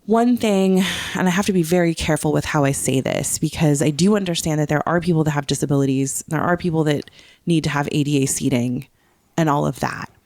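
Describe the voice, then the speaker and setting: A woman's voice: low voice, brisk, a little mellow, no room sound, studio quality. A woman co-hosting a podcast, recounting things in casual, conversational storytelling. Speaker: podcast co-host. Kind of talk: casual chat, recounting a trip.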